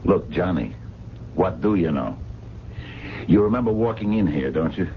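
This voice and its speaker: A deep-voiced, sardonic diner man, impatient and slangy, his delivery swinging widely in pitch. The old radio drama recording is dull with a little noise. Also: expressive, moderate pace. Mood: probing. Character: gruff, cynical working-class diner man, grumbling and sardonic. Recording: dull, noisy vintage radio broadcast.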